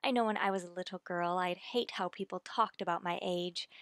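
A woman's voice: on the low side, fairly even intonation, a little fast, a bit bright, dry recording, clean studio sound. An actress playing a genteel, sympathetic and inquisitive lady in an old-time radio drama, speaking politely and expressively. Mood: gentle coaxing.

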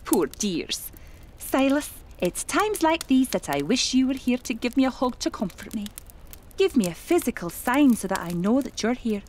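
A chatty, quietly sinister lady medium , acted by a woman in a horror audio drama. Her voice is high and very lively, fussy and theatrical as she muses to herself, with faint noise behind. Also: normal speed. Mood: wistful, wry. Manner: theatrical, exclamatory, musing to herself.

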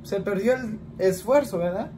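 A man's voice: sits high, moderate pace, noisy background. A man in his twenties with a Mexican Spanish accent, chatting casually in a home vlog and answering questions in a rambling way, often tagging lines with "¿verdad?". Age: 20s. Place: small indoor room, plain wall.

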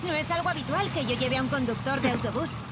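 A woman voicing a brisk, capable young female firefighter in a children's cartoon dub, over music. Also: sits high, heavy background noise, lightly acted. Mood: businesslike. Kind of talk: animated children's cartoon dubbing.

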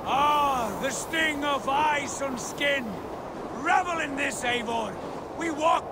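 An actor playing a zealous young Norse warrior who is driven by visions and speaks in a commanding, fervent way. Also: male voice, very high-pitched, slow. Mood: exultant. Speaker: Viking companion. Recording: game audio under loud wind noise.

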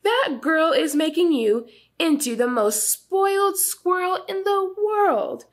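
A woman's voice: extremely high pitch, expressive, takes it slowly, voice pushed up, heavily acted. A woman voicing a stern, exasperated mother squirrel in a storybook, stretching words for emphasis. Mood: scolding, exasperated.

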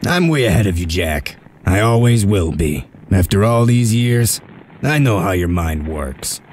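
A man's voice: sits low, lively intonation, unhurried, a little mellow, a little hiss or hum. A man voicing a stern, shrewd leader of a covert break-in in a comic dub, giving curt, authoritative orders. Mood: commanding.

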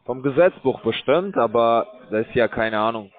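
A man calling from a call center, rambling in a bored, crude, halting way. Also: medium pitch, animated. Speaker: call center scam caller. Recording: dry tinny phone line.

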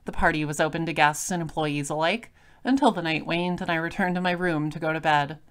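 A woman's voice: deep voice, brisk, clear, resonant tone, lightly acted. A woman playing a composed, droll space detective, narrating an audio drama in a dry, matter-of-fact way.

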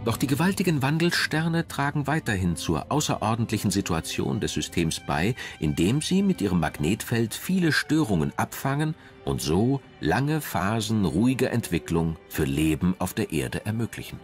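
Male science documentary narrator: low register, animated melody, measured and formal reading. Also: faint music behind.

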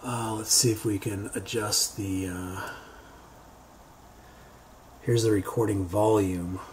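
A man giving an unscripted how-to software demonstration, thinking aloud in a halting way as he works. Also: on the low side, steady tone. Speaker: tutorial presenter. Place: indoors, beside a computer. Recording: homemade recording with background noise.

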